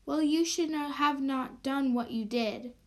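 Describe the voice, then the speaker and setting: A woman's voice: high voice, unhurried, a little mellow. A woman playing a stern, scolding judge in an amateur radio drama. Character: stern judge in court, sceptical but grudgingly lenient.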